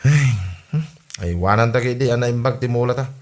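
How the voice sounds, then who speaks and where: A man's voice: mid-range voice, brisk, natural voice. A man with an Ilocano accent playing a put-upon village farmer in a comedy audio drama, complaining plaintively.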